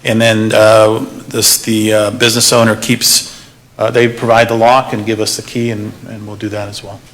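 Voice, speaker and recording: A man's voice: fairly low pitch, level tone, normal speed, fairly bright tone. A man representing a waste hauler, answering questions at a public workshop in a hesitant way with many fillers. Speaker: waste hauling company representative.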